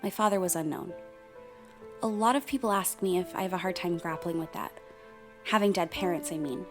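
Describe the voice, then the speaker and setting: A woman's voice: fairly low pitch, brisk, faint music behind, natural voice. A woman playing a blunt, sardonic woman in her thirties giving candid first-person narration in a radio play. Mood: reflective. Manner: frank, sardonic, swears.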